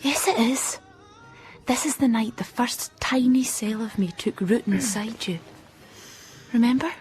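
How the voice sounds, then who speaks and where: Female, high-voiced ghost daughter played by an actress, intimate, insistent, coaxing; radio play with prominent music. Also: a little slow, darkish tone, close to an everyday voice. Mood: hushed, teasing. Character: ghostly grown daughter, intimate and insistent, haunting her mother. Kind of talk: radio drama acting.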